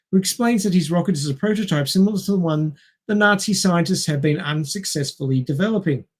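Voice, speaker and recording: Male, slightly high voice, film podcast co-host, casual narration, dry humor, wry delivery. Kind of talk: reading a film plot summary with wry asides and casual chat.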